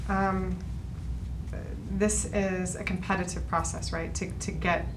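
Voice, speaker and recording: A woman's voice: on the low side, level tone, slow pace. A woman hosting an interview for a language center, asking friendly, encouraging questions. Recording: background noise.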